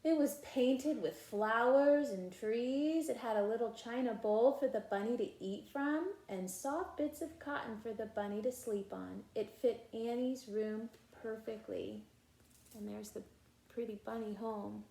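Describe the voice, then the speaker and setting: A woman reading a children's picture book aloud in an expressive, emphatic way, with casual asides. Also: fairly high pitch, unhurried, vocal fry. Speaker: read-aloud storyteller. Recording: echoey room.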